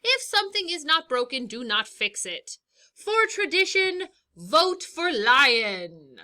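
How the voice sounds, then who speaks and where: A woman's voice: sits high, big pitch swings, slow, bright, forceful tone, pitched above the performer's own voice, exaggerated voice. A woman voicing a pompous, boastful male lion king giving a grand campaign speech in a storybook. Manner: grand, self-important speechmaking.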